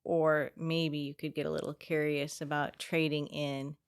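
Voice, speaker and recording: A woman co-hosting a podcast, gently guiding and asking curious questions in casual chat. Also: low voice, flat delivery, measured pace, clean tone. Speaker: podcast co-host, coach.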